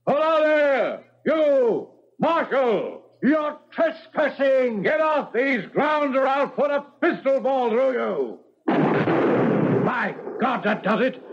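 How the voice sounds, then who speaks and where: An actor playing a blustering, angry colonial gentleman in a 1970s radio drama. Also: very high voice, animated, slow, exaggerated voice. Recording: dull lo-fi 1970s radio broadcast.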